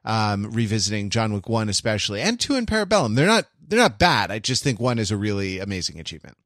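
A man co-hosting a podcast, chatting casually in a thoughtful, halting way as he works out his phrasing. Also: on the low side, very animated, a bit bright, no echo, studio quality. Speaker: podcast co-host. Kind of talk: casual film chat.

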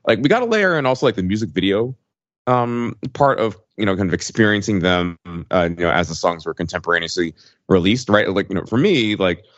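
A man's voice: low-pitched, lively intonation, a little fast, no room sound, highs cut off. A man co-hosting a podcast, talking casually and discursively, with many small conversational hedging phrases. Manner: discursive, peppered with "like" and "you know". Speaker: podcast co-host. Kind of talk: casual chat.

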